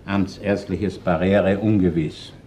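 An actor playing a gruff, shrewd middle-aged Viennese chief inspector in a radio crime drama, speaking bluntly and colloquially. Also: male voice, low voice, steady tone, slow, dark tone. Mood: brisk, commanding. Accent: Viennese Austrian. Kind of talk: German-language radio crime drama acting.

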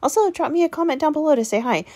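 A woman's voice: sits high, animated, very fast, warm tone. An American woman giving a casual, chatty recipe voice-over as a home cooking vlogger, with an enthusiastic, folksy manner.

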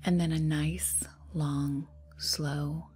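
A woman leading a guided meditation in a soft, breathy, half-whispered voice, soothing and unhurried, leaving long pauses. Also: sits low, fairly even intonation. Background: meditation music.

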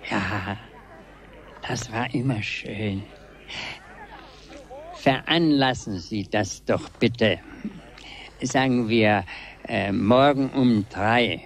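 A gentle, absent-minded old man in a seventies radio play. His voice is low and very slow, with hesitant pauses and breathy endings. He is mild but quietly expects his wishes to be met. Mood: hesitant, wistful. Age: older. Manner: halting, hesitant, breathy trailing phrases. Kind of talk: 1970s German radio drama acting.

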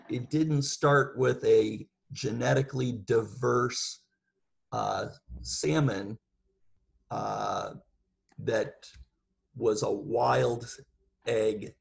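A man giving an informal expert talk over a video call, explaining things in a halting, measured way. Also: medium pitch, gravelly. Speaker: guest speaker, seafood industry professional.

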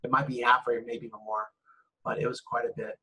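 Man, husky voice, loose lecture talk, lots of ums and restarts. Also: medium pitch, little pitch movement, a little fast, bright, forceful tone, rough recording. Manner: loose, conversational, with many fillers and restarts. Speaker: university lecturer. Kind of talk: recorded lecture over slides.

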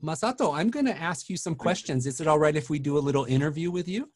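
A man's voice: fairly high pitch, lively intonation, fast talker, dry recording. Host, man in his forties, friendly leading questions over a video call, dry close sound.

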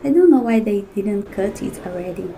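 A young woman voicing an unhappy office worker, speaking a complaining inner monologue in a weary, flat way. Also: medium pitch, moderate pace, a little hiss or hum, lightly acted. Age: young adult. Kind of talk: scripted inner-monologue narration.

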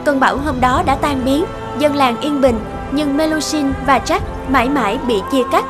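A woman's voice: sits high, normal speed. A woman reading scripted fairy-tale narration as the storyteller. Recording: loud music behind the voice.

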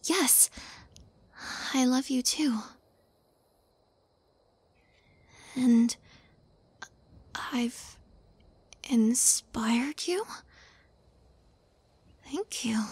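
Woman acting a breathy, high-voiced shy young witch. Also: no echo, full character voice. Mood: tender, affectionate. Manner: hesitant, halting, soft-spoken, often dropping to whispers. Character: shy, tender young witch girlfriend, tearful and devoted. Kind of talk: intimate girlfriend roleplay audio, soft and breathy.

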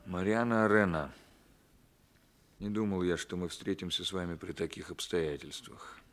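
An actor playing a firm, dry police captain in a 1960s radio drama, speaking in terse, measured, coolly ironic phrases. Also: male voice, low voice, steady tone, close to an everyday voice. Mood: probing.

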